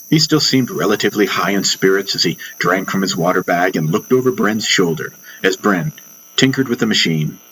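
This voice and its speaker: A man's voice: lowish voice, a bit bright, a little hiss or hum, rough recording. A man reading a scripted first-person story as an audiobook narrator, with music behind him. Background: background music.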